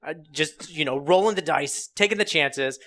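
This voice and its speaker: A man's voice: a little high, normal speed, pushed and bright. A man hosting a podcast, chatting casually in a jokey, teasing way with friends. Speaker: podcast host. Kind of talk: casual group podcast chat.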